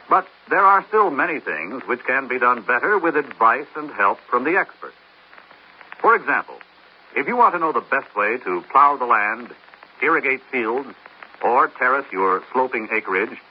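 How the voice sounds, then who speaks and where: A male announcer reading a scripted public service message in a measured, earnest way. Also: mid-range voice, breathy edge. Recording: muffled old radio broadcast.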